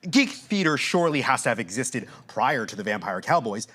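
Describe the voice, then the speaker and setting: An actress playing an earnest, skeptical classical theater purist in a live audio play, with formal, theatrical, questioning delivery. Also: female voice, rumbling low, very animated, brisk, fairly bright tone, husky, full character voice.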